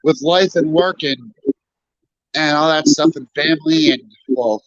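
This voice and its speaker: A man with a voice a bit higher than usual chats as a guest over a video call, stumbling and repeating himself as he talks. He sounds a little stuffy, and the call audio is dry and somewhat muffled. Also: fairly bright tone, no echo. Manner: halting, repeats himself, many fillers. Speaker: guest on stream. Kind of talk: casual chat.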